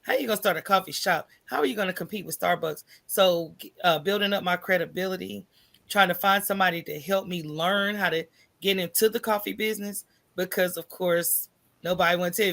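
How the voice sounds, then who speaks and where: Gravelly, bright-voiced American woman. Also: fairly low pitch, a little fast. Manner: informal, candid, often checks in with "right?". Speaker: podcast guest, entrepreneur. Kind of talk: conversational personal storytelling in an interview.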